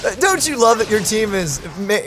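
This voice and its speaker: A man's voice: high voice, lively intonation, normal speed, noisy background. A man hosting a let's play, chatting casually and enthusiastically while he plays. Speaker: gaming video host. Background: game music.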